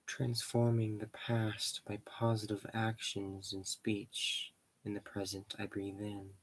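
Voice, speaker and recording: A man leading a guided meditation in slow, measured short phrases with pauses between them. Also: on the low side, completely flat delivery, breathy edge.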